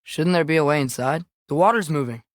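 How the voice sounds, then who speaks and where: A man's voice: a little high, a little fast, dry recording, studio quality, natural voice. A young male actor with an American accent voicing an earnest, curious teenage boy adventurer in a video game, speaking in a casual, questioning way. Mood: curious, suggesting. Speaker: video game companion character. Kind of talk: scripted game dialogue, conversational.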